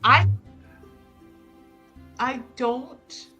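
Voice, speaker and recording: A woman playing a dry, protective, dutiful hostess in improvised tabletop roleplay, wry and measured. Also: high-pitched, muffled recording, music in the background. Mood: reluctant, polite.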